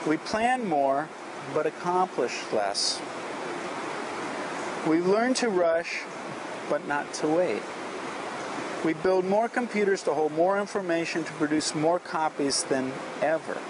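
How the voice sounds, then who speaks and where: A man vlogging outdoors in a reflective, earnest style, with measured delivery. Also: a little high, expressive. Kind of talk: reflective talk and reading aloud of an inspirational message. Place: outdoors at a beach. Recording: noisy outdoor recording with traffic-like ambience. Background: clear outdoor ambient noise.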